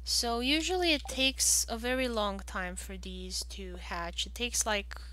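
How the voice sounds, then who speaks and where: A woman's voice: a little high, unhurried, bright, forceful tone, slight background noise. A woman giving casual game walkthrough commentary, thinking aloud in a hesitant way. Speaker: gaming video host.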